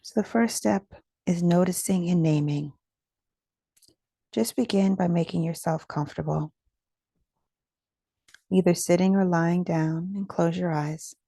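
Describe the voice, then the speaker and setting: A woman leading a guided meditation in a gentle, soothing, measured way, with pauses between phrases. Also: on the low side, gravelly, dry recording, clean studio sound.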